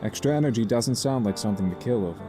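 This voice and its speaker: A man playing a concerned, steady uncle in a visual novel, speaking in a measured, reassuring way. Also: fairly low pitch, over music, close to an everyday voice. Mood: serious, questioning. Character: concerned, steady uncle, a grown man in an anime-style game.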